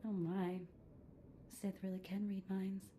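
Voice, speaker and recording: A woman's voice: medium pitch, flat delivery, measured pace, warm tone, natural voice. An actress playing a playful, affectionate woman companion in a video game. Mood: teasing. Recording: game audio with faint background noise.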